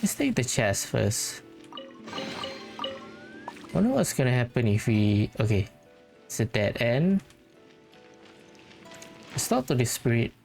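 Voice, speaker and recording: A video game host giving casual commentary and thinking out loud while playing. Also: mid-range voice, sing-song, slow, creaky, long pauses, faint music behind.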